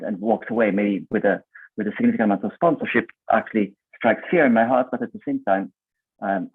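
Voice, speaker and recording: Italian-accented male moderator, low husky voice, hesitant, tinny dry call audio. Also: no echo. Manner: hesitant, many "um" and "uh", reads out questions. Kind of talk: moderating a webinar. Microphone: video call.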